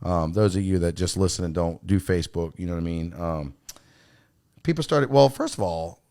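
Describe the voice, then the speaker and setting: A man's voice: deep voice, moderate pace, creaky, mellow, no echo, clean studio sound. A man hosting a podcast, chatting casually and swearing often, with lots of "you know what I mean". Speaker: podcast host. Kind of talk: casual profane chat. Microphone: studio mic.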